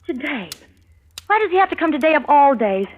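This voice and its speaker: An actress playing an anxious, worn-out young wife, pleading and fretful, in an old radio drama. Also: female voice, sits high, big pitch swings, brisk. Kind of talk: 1940s radio acting. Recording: dull old radio broadcast with hiss.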